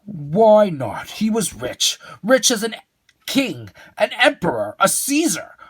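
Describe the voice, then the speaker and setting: A man voicing an excitable old bald storyteller for a children's storybook, coaxing and theatrical, with drawn-out exclamations. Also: high-pitched, slightly rough, voice pushed up, exaggerated voice. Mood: animated storytelling.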